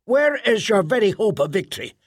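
A man's voice: high-pitched, very animated, fairly quick, breathy edge, dry recording, studio quality, voice pushed up, exaggerated voice. A man voicing an urgent, exasperated male trader in an audiobook, pleading as he argues. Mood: urgent, arguing.

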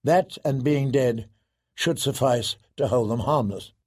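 A man voicing a serious young lord commander in an audiobook, measured and dry in delivery. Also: mid-range voice, a little mellow, slightly rough.

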